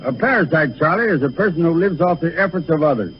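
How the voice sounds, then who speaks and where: Courteous, formal man with lively intonation. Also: a little high, quick pace. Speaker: guest academic presenting a degree. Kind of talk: scripted 1930s radio comedy. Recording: muffled 1930s radio recording, faint noise.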